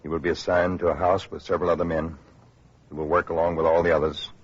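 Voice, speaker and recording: A procedural official in a vintage radio drama, registering refugees. The voice is low and husky, with a measured, businesslike delivery. It comes through on a muffled old broadcast. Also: male voice, little pitch movement. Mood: firm, official. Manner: measured, procedural, matter-of-fact. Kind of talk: 1940s radio drama acting.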